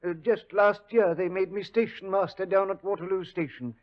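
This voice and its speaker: Station master, middle-aged, thick speech, high voice, old radio drama, played by a man. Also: fairly even intonation, moderate pace, close to an everyday voice. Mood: earnest. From Sussex. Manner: thick-voiced, plain-spoken, flustered. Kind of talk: 1940s radio acting. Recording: dull old radio broadcast.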